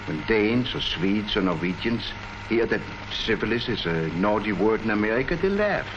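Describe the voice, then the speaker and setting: An actor playing an earnest army colonel who presents public health facts in a declamatory, rhetorical way, in the style of a 1940s education film. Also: male voice, on the low side, sing-song, moderate pace. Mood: earnest, explanatory. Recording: muffled, noisy 1940s film soundtrack.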